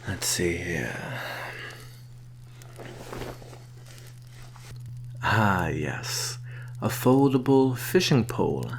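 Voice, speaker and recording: Slow, low-voiced sly vampire merchant, played by a man. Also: sing-song, background noise, full character voice. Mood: coaxing. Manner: soft-spoken, coaxing, deliberate with sighs and soft chuckles. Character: sly male vampire posing as traveling merchant. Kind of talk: ASMR roleplay.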